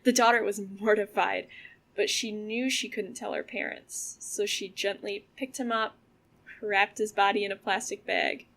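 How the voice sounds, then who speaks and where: Girl playing young woman telling an eerie story in an audio drama, medium pitch, lots of vocal fry. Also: steady tone, normal speed, fairly bright tone, rough recording. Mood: measured, eerie storytelling. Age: young adult. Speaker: student audio drama actor.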